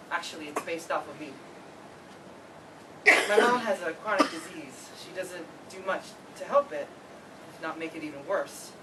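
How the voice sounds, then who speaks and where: A woman's voice: lowish voice, natural voice. A woman playing an awkward, eager, earnest classmate in an amateur stage play reading, theatrical in places. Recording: distant mic, clearly noisy live room.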